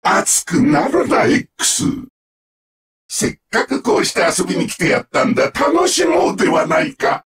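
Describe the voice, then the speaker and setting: An actor playing a sadistic, mocking combat-robot villain in a Japanese video game, with sneering, theatrical, drawn-out taunts. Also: male voice, a little high, wildly swooping pitch, a bit bright, far from the mic, full character voice.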